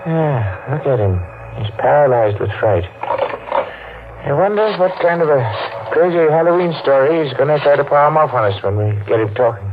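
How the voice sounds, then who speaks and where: An actor playing a brusque, matter-of-fact police captain in a 1940s radio drama. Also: male voice, mid-range voice, lively intonation, moderate pace, lightly acted. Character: gruff tough police captain. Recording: tinny old radio broadcast with hiss.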